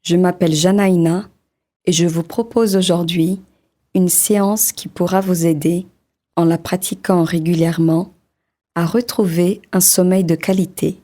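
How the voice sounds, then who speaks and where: A woman leading a guided body-scan meditation in a slow, soft, soothing voice with long pauses. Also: lowish voice, steady tone.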